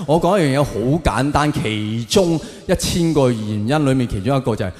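A man's voice: medium pitch, lively intonation, a little fast, darkish tone. A man with a Hong Kong Cantonese accent performing a colloquial stand-up monologue, with rhetorical questions and emphatic punchlines. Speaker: stand-up comedian. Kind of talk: stand-up comedy monologue to an audience. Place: on stage in a large hall. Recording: echoey large hall, long reverb.

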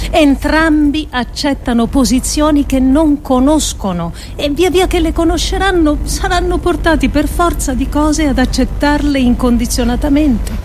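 High-voiced woman delivering a radio monologue. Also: a little mellow, a little hiss or hum. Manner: rhetorical, deliberate, with emphatic pauses. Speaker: actress reading an adapted essay on radio. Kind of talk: dramatic scripted monologue for radio.

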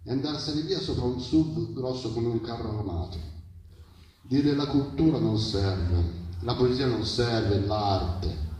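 A man's voice: mid-range voice, takes it slowly, a little mellow. A man performing satirical spoken-word poetry live, declaiming in short, insistent, repeated phrases. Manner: satirical, mimicking common bigoted remarks. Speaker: poet performing at a public reading. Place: live poetry reading at an outdoor festival. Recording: very echoey, picked up from far off.

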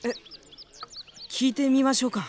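An actor playing a polite, earnest young male mage in a radio drama, gentle and courteous. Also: very high voice, fairly even intonation, moderate pace. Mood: friendly, puzzled. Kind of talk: Japanese radio drama acting. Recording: noisy outdoor scene sounds behind.

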